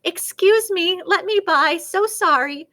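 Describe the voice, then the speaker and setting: An anxious, polite boy turkey from a storybook, played by a woman, earnest and a little hesitant. The voice is high and expressive, with slow, careful phrasing. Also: exaggerated voice. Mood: flustered.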